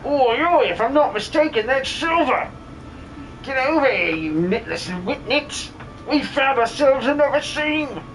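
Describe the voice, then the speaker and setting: A man's voice: very high voice, expressive, a little slow, a bit bright, slight background noise, voice pushed up, heavily acted, rough recording. Man doing a brash, bossy game miner. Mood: excited, bossy. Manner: bluff, slangy, exclamatory. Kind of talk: video game fan dub.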